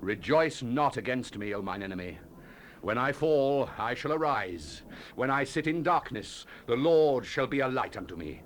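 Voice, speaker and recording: An actor playing a solemn biblical prophet in a dramatized scripture recording, declaiming judgment and lament. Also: male voice, mid-range voice, lively intonation, moderate pace, a bit bright, close to an everyday voice. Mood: solemn, resolute. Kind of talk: dramatized scripture reading, 1970s audio drama. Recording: hissy 1970s cassette tape.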